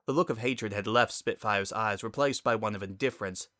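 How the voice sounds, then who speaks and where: A man's voice: fairly low pitch, brisk, sharp tone, dry recording, clean studio sound. A man reading a story aloud as narrator in a dramatic style.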